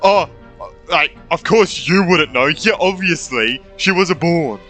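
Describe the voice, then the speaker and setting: A man's voice: a little high, slow, bright, forceful tone. A man reacting to a story game as a streamer, blunt, exclamatory and sweary. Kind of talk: reactive gameplay commentary. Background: game music.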